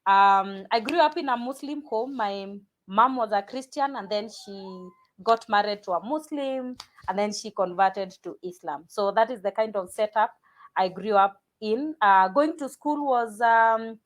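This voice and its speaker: A woman's voice: mid-range voice, a little slow. A woman from Kenya, a pastor talking casually in an interview, often restarting sentences and repeating words. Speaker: pastor and guest.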